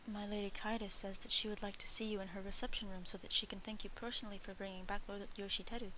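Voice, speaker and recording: A woman reader voices a castle maidservant in an audiobook. She speaks humbly and formally, deferring politely, with faint hiss behind her bright voice. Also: medium pitch, little pitch movement, fast talker, natural voice, rough recording. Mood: humble, polite. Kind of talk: audiobook character voice.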